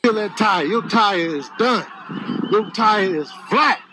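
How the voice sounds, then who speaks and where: A man voicing cocky, indignant animals in a comedic voice-over, with husky, streetwise, exasperated talk. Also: high-pitched, lively intonation, slow, pushed and bright, exaggerated voice. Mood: taunting. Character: cocky, indignant trash-talking male animals.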